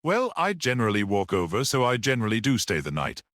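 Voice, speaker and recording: A man's voice: lowish voice, huge pitch swings, fast talker, fairly bright tone, no room sound, clean studio sound. A man voicing an amiable, obstinate little old man in a storybook, talking thoughtfully. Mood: reluctant. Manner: thoughtful, mildly stubborn.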